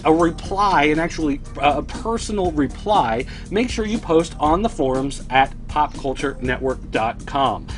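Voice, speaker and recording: A man hosting a toy collecting news show, chatting casually to viewers in a dry, sardonic way. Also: a little high.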